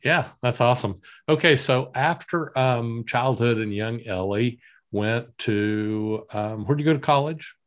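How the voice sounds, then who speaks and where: A man's voice: mid-range voice, measured pace, fairly bright tone. A man with a slight Texas accent hosting an interview, upbeat and affirming, asking questions with fillers. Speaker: podcast host. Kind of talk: casual interview chat.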